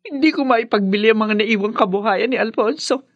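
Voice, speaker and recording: Bitter older villainess, high rasping voice, seething, desperate, radio melodrama. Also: female voice, brisk, no room sound, muffled recording. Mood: furious. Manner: seething, ranting. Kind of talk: melodramatic Filipino radio drama acting.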